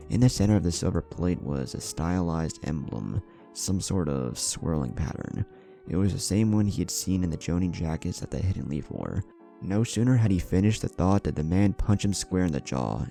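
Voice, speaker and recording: A man's voice: low voice, vocal fry, mellow. A man reading an adventure story as a dramatic scripted narration. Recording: background music under the voice. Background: music.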